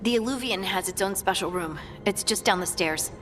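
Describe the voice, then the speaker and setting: An earnest fantasy scout, voiced by a woman with a medium-pitched voice. She talks insistently, as in a game cutscene, while music plays loudly behind her. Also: a little fast, natural voice. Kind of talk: video game cutscene acting.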